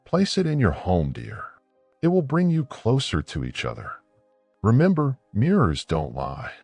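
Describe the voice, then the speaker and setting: A man voicing a wealthy aunt who talks sweetly but coldly, with quiet menace, in a folktale. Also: lowish voice, huge pitch swings, a little slow, creaky, slightly rough, no echo, faint music behind, full character voice. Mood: ominous. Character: cold, sweet-talking, menacing rich aunt.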